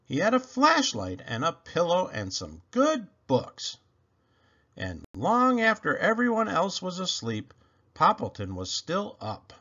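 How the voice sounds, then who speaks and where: A man narrating a children's storybook in an expressive read-aloud style. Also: sits high, a little slow, lo-fi sound. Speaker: storybook reader.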